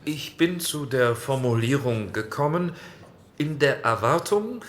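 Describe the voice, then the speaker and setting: A man's voice: mid-range voice, expressive, unhurried, a little hiss or hum, lightly acted. A man playing a careful, conciliatory post-war committee member, speaking formally and politely in a radio docudrama. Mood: considered, diplomatic.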